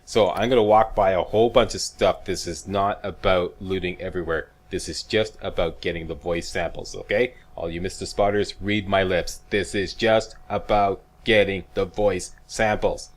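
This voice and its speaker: Deep-voiced man, casual game walkthrough. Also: steady tone, moderate pace, few pauses. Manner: chatty, wry, mildly profane, checks in with "okay" and "right". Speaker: video game walkthrough host.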